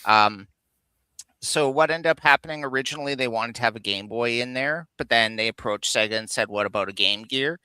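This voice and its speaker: A man's voice: medium pitch, brisk, sharp tone, smooth, no echo. A man co-hosting a casual geek talk show, explaining film trivia in a chatty way. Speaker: co-host of a live geek countdown show.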